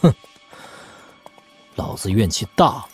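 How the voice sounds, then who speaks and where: An actor playing a shrewd, sardonic young lord in a martial-arts audio drama, expounding wryly at length. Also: male voice, lowish voice, very animated, slow, darkish tone, slightly rough, exaggerated voice. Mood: bitter. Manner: wry, expounding at length, with snorts and sighs. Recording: music behind. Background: background music.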